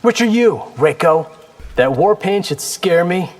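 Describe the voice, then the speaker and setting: A man's voice: high-pitched, animated, a little slow, heavily acted. A man playing a young ninja warrior in a fighting game, wry, cocky and casual. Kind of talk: fighting game character acting.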